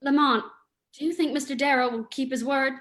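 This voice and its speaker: A woman's voice: sits high, steady tone, fairly quick, fairly bright tone. A woman playing a polite, anxious lady companion to a sleuth in an old-time radio drama, proper and theatrical. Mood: polite, uneasy.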